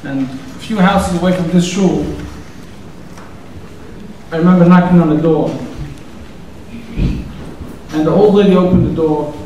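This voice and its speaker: A man's voice: a little high, measured pace, long pauses, dark tone, slight background noise. Casual man in a very echoey hall. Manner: casual, rambling, conversational. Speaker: event organizer and host. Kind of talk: introductory speech. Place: synagogue hall. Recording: very echoey hall, distant mic.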